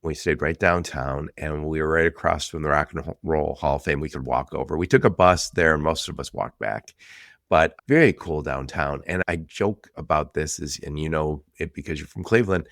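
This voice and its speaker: A man's voice: a bass voice, normal speed, a bit bright, no room sound, studio quality. A man with an American Midwestern accent, chatting casually as the host of an interview show. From suburban Detroit, Michigan, United States. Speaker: podcast host. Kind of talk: casual conversational interview chat.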